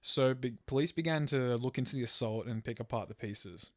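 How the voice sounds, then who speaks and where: A man's voice: mid-range voice, fairly quick, no echo, clean studio sound. A man telling a true crime story as a podcast host, partly from a script. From Australia.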